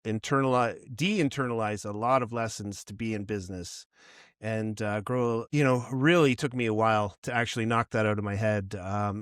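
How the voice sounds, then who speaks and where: A man's voice: fairly low pitch, fairly bright tone, smooth, no room sound, clean studio sound. A man from North America in his forties or older, hosting a casual podcast interview in a friendly, joking, chatty way. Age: 40s or older. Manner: friendly, jokey, chatty with laughs. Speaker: podcast host.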